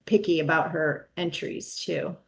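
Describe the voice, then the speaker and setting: A woman's voice: deep voice, steady tone, measured pace, gravelly. A woman trader chatting casually with a host, laughing easily. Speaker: guest trader. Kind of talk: casual chat.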